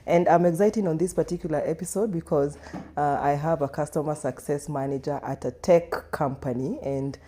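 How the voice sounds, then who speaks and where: A woman from Kenya hosting a podcast, playful and encouraging, with steady "mm-hmm" replies. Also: low-pitched, normal speed. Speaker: podcast host. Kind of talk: casual interview hosting.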